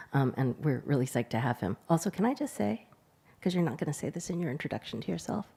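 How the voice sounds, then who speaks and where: A woman moderating a conference panel who introduces the next speaker in a halting way, repeating words. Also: sits low, dark tone. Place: large conference hall.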